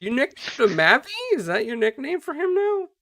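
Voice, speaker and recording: A man's voice: very high voice, big pitch swings, normal speed, vocal fry, sharp tone. A man hosting a casual gaming podcast, upbeat and greeting viewers by name. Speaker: podcast host.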